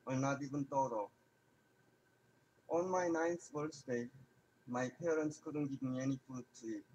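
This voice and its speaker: Young adult male. Measured, earnest prepared speech. Faint background noise. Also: medium pitch, darkish tone, low-quality recording. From North Korea. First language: Korean.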